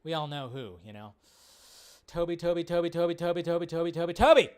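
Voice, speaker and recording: A male livestream host with a smooth, clear voice pitched a little on the high side. He chats casually and rambles off the cuff, often repeating a phrase as he goes. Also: fast talker. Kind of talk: casual live chat, reading and answering viewer questions.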